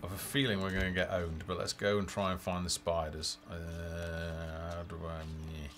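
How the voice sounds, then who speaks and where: A man's voice: low voice, monotone, moderate pace, fairly bright tone, a little hiss or hum. A man giving casual live commentary as a game developer playing, thinking aloud in half-muttered asides. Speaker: game developer streaming a play-through. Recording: game music behind the voice. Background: game music and unit voices.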